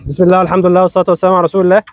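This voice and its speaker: A man's voice: high-pitched, level tone, very fast, gravelly, no room sound. A young Egyptian man leading a wedding as officiant. He is a little sick and his voice isn't at full strength, but he talks playfully and teases the couple. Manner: playful, teasing, switches between English and Arabic. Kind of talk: lively wedding sermon, joking with the couple.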